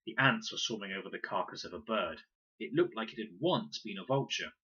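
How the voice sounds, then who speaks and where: A man reading a children's audiobook in an expressive, dramatic storytelling manner. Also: lowish voice, a little fast, slightly rough, dull audio. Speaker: reader.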